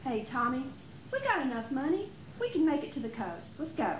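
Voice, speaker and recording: A woman's voice: high-pitched, wildly swooping pitch, a little fast. A woman playing a scheming, impatient wife who coaxes and persuades in an amateur stage play. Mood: resigned, coaxing. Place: theater during a rehearsal. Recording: echoey, noisy 1980s theater rehearsal tape.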